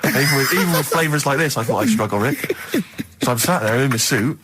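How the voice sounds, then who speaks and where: A man with an English accent and a husky voice, telling a comic anecdote in a mock-indignant, exaggerated way as a radio co-host. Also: mid-range voice, expressive, fairly quick, noisy background. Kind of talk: comic anecdote, casual banter.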